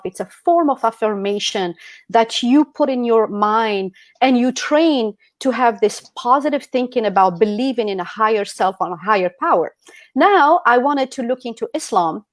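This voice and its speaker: A woman's voice: fairly high pitch, no echo. A woman from Algeria giving an earnest talk as a guest speaker over a video call, with frequent fillers like "like" and "um".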